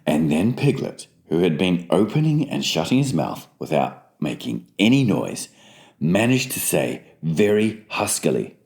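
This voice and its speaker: A male audiobook narrator telling a children's storybook. He takes his time over each phrase, reading at an unhurried, slow pace. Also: deep voice, big pitch swings, darkish tone.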